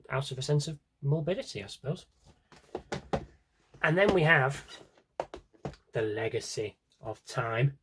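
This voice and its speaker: A man's voice: on the high side, background noise. A man with a British accent chatting casually and wryly through a rambling show-and-tell as a vlogger, with self-deprecating asides. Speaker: vlogger showing a CD collection.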